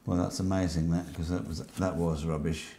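A man's voice: deep voice, level tone, normal speed, creaky, dark tone. An English man in his seventies chatting casually as a co-presenter, in an understated, slightly hesitant way. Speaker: host's father, co-presenter. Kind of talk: casual chat. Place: home kitchen, later leafy garden. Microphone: lapel.

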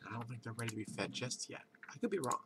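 A man's voice: medium pitch, very animated, creaky. Congested guy just woke up, rambling over his game at home, keyboard clicking and noise behind. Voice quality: congested, stuffy nose. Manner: rambling, thinks aloud with pauses. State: just woken up, groggy. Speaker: let's play host. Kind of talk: casual gameplay commentary. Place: home at a computer.

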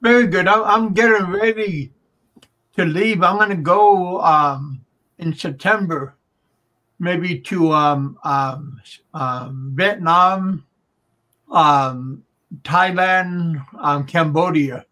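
A man's voice: a little high, slow, bright, forceful tone. A man in his early sixties chatting casually as a livestream host, hesitant and often repeating words. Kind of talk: casual chat. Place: indoor room at home. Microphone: microphone on a boom arm.

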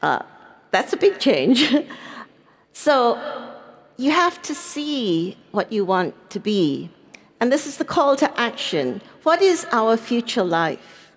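A woman's voice: fairly high pitch, big pitch swings, slow. Older woman rousing a conference crowd. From Singapore. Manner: earnest and rousing, rhetorical questions. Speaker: panelist. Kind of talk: conference panel talk. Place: large conference stage. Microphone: headset mic.

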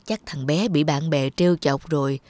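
An actor voicing a sympathetic, kindly middle-aged man in a cartoon, gentle and concerned. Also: mid-range voice, normal speed, natural voice. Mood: pitying. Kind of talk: cartoon dubbing. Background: music.

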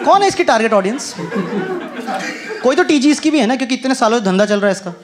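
A man's voice: extremely high pitch, animated, quick pace, a crowd in the background. A young man performing stand-up comedy on an indoor stage into a handheld microphone, acting out street vendors in put-on voices. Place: indoor comedy stage with brick wall and a live audience. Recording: loud audience laughter and noise.

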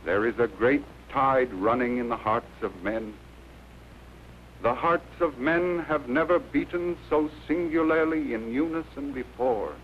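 An actor playing a solemn, idealistic American president delivering a formal speech in a deliberate manner. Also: male voice, medium pitch, natural voice. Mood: solemn, lofty. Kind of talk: formal oratory, read speech. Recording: dull, faintly noisy old film soundtrack.

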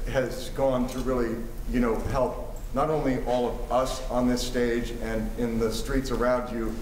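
A middle-aged actor giving an earnest curtain speech to a theater audience, halting and full of restarts. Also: male voice, medium pitch, a little hiss or hum. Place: large theater stage. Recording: roomy theater sound from far off.

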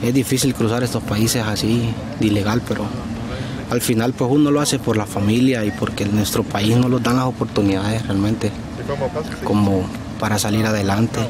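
A man in his thirties, earnest and devout, speaking with many pauses into a handheld mic outdoors. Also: fairly low pitch, fairly even intonation. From Honduras. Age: 30s. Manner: earnest, many "pues" fillers, pious. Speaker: migrant interviewee. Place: outdoors on gravel ground. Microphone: handheld reporter mic held close. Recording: very noisy outdoor field recording.

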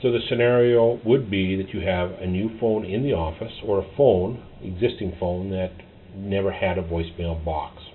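A man narrating a step-by-step tutorial as an instructor, often in short, halting instructional phrases. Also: low voice. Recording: tinny phone-like audio, clear noise.

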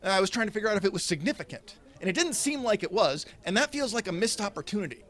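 A man in his thirties doing a deadpan stand-up comedy routine at a stand mic, gesturing as he talks. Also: sits high, quick pace. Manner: deadpan delivery with animated, sweeping hand gestures. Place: indoors, small set in front of a red curtain. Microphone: stand mic in front of him.

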